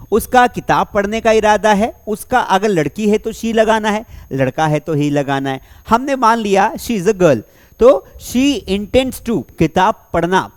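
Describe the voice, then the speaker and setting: A young man teaching spoken English, asking the class questions and slowly repeating example sentences word by word. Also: high-pitched. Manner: energetic classroom delivery, slow dictation of example sentences; asks rhetorical questions and repeats answers. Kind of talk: spoken English lesson in Hindi.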